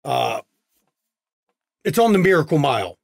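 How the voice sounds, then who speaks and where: A man running a tabletop game as game master, narrating with many pauses and restarts. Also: a little high, big pitch swings, gravelly, no room sound, clean studio sound. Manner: halting, many uhs and restarts.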